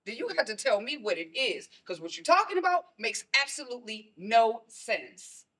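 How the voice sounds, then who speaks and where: A woman's voice: on the high side, sing-song, moderate pace. A woman playing a stern, protective mother in a stage play, scolding and pressing with pointed questions. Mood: alarmed, disbelieving. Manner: forceful, scolding, rhetorical questions.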